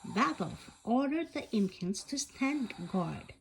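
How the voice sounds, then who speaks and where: A woman reading a storybook aloud as scripted narration, in an expressive, animated way. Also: on the high side, slow pace, creaky, slight background noise. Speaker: bedtime story reader.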